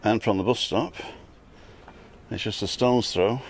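A man's voice: fairly low pitch, unhurried, vocal fry, slightly rough. A middle-aged man talking to camera outdoors in a casual, rambling vlog style. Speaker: vlogger. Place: outdoors at a bus stop and a bar terrace.

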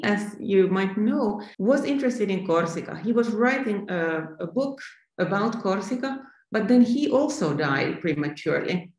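A Finnish woman in her fifties, an artist calmly and thoughtfully answering questions over a video call from home. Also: on the low side. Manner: thoughtful, reflective, restarts phrases. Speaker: artist guest. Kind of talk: remote interview answers. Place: sunny room at home. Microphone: video call laptop camera. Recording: echoey room.

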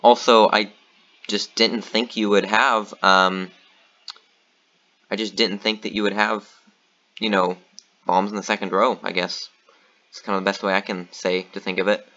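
A man's voice: fairly low pitch, moderate pace, long pauses, lo-fi sound. A man giving casual commentary on his own board game match, thinking aloud with lots of ums and uhs. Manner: thinking aloud, relaxed, many fillers.

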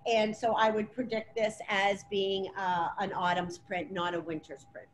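A middle-aged woman presenting as a stylist in an informal webinar, explaining in a halting way over a video call. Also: medium pitch, level tone, low-quality recording. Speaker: colour analysis stylist presenting. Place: clothing boutique, indoors. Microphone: laptop or tablet on video call. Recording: video call with clear background noise.

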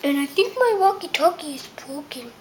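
A child voicing a dopey, good-natured cartoon starfish sidekick in improvised toy play, with halting speech. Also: high voice, expressive. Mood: cheerful. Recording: faintly noisy home camera recording.

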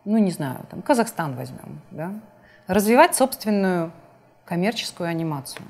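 Lowish, lively female interviewer. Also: normal speed, vocal fry. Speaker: interviewer, host. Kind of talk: conversational studio interview questions. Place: TV studio at a desk.

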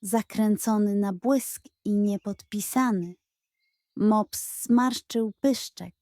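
Slow-paced woman telling a children's story. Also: medium pitch, mellow, no room sound. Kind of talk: expressive scripted storytelling.

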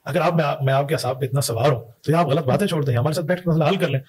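A man's voice: on the high side, rapid-fire, a little mellow, husky. A man in his thirties with a Pakistani accent, talking insistently and argumentatively on a podcast. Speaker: podcast guest, mufti. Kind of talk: podcast conversation. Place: indoor podcast studio. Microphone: close boom-arm podcast mic.

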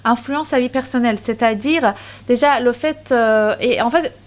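A woman in her thirties presents at a university panel, her voice sitting a little high. She speaks haltingly, with many fillers and restarts. She talks into a microphone on the table in a lecture hall. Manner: hesitant, frequent fillers and restarts. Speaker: conference speaker. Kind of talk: academic talk with many hesitations. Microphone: table microphone on stand.

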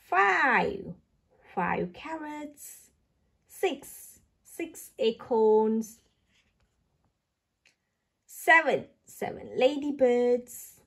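A woman reading aloud for toddlers, saying words carefully in a sing-song, child-directed way. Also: high voice. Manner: slow, careful labelling of single words for small children. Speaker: children's book reader and channel host.

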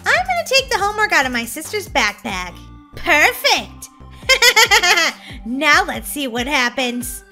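A mischievous, excitable little girl ghost, acted by a woman in a children's cartoon skit. The voice is very high and bright, whiny and dramatic, and swoops widely into spooky drawn-out words. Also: soft background music, full character voice.

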